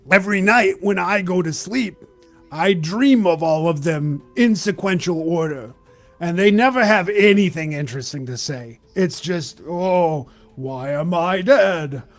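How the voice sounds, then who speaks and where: Tabletop game registrar, played by a man: jaded, condescending drawl, higher-set male voice. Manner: world-weary, drawling, condescending. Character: jaded, sarcastic male registrar at a curse-declaration desk. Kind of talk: improvised tabletop roleplay.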